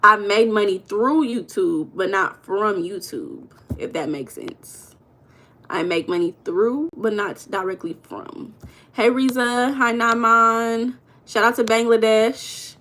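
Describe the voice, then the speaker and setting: An American woman from Los Angeles hosts a live stream and chats casually with her viewers. She teases and banters in loose slang. Her voice sits at an ordinary middle pitch. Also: slow pace, fairly bright tone, slight background noise. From Los Angeles, USA. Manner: playful, slangy, teasing banter with chat. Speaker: livestream host. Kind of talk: casual live chat reading viewer comments.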